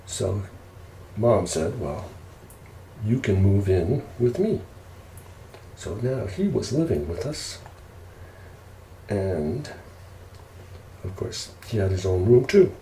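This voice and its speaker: A man telling casual ASMR stories in an unhurried, rambling way. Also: on the low side, sing-song, dark tone. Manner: unhurried, rambling family reminiscing. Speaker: ASMR storyteller. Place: indoors, roomy space while preparing food. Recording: roomy echo, faint background noise.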